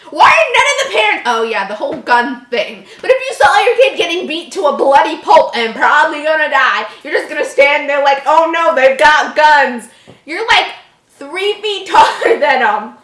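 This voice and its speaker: A woman's voice: high-pitched, sing-song, normal speed, fairly bright tone, echoey room. A woman reading a horror story aloud casually, giggly and reactive, breaking off to joke and comment.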